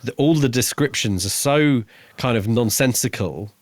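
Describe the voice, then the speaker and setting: A man co-hosting a podcast, talking casually about a book in a halting way, building each thought piece by piece. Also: medium pitch, expressive, no room sound. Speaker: podcast co-host reviewing a book. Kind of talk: casual conversational book discussion.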